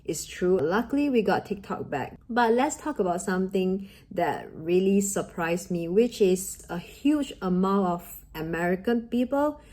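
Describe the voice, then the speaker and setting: A woman's voice: mid-range voice, lively intonation, measured pace, few pauses, warm tone. A young woman from Malaysia with a Malaysian accent, a Mandarin native speaker, earnestly giving a motivational talk in her vlog. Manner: earnest, encouraging, with grammar slips of a second-language speaker. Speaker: vlogger.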